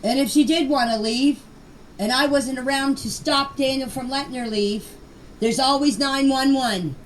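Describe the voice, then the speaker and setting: Older woman, higher voice, earnest and plain-spoken, informal phone voice message with faint noise. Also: moderate pace, far from the mic, poor audio. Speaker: grandmother of missing children.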